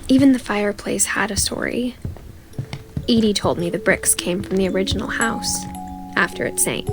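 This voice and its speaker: A woman voicing a young woman who narrates her return to her family home, in a quiet, wistful storytelling manner. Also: medium pitch, moderate pace, over music, natural voice, rough recording. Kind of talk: game narration.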